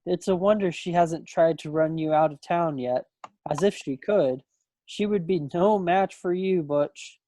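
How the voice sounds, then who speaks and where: A man's voice: fairly high pitch, unhurried, warm tone, dry recording, close to an everyday voice. A man playing a playful, folksy young small-town suitor from the 1940s in a play reading over a video call. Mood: teasing, fond. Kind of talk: stage play table read. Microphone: computer microphone on a video call.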